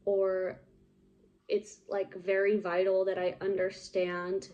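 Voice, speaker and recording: A young woman talks loosely and casually, filling her sentences with likes and ums. Her voice is mellow and soft-edged, sitting at an ordinary middle pitch. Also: completely flat delivery, slow pace. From United States. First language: English. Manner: rambling, lots of "like" and "um". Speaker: vlogger. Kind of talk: casual chat to camera.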